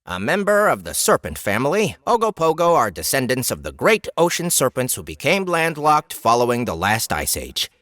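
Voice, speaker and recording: A man's voice: mid-range voice, huge pitch swings, moderate pace, hardly any pauses, fairly bright tone, dry recording. A man playing an instructive voice of monster lore in a fantasy audiobook, explaining emphatically like an encyclopedia entry. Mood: instructive, emphatic. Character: instructive narrator of magical monster lore entries. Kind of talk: scripted encyclopedia-style narration in fantasy audiobook.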